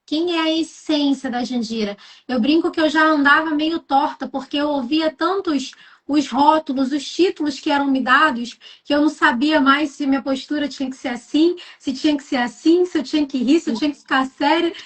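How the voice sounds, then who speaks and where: High-voiced woman with a Carioca accent. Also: a little fast, hardly any pauses. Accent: Carioca, Rio de Janeiro. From Rio de Janeiro, Brazil. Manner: reflective, earnest, tells her own story at length. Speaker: guest speaker. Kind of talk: personal storytelling in conversation.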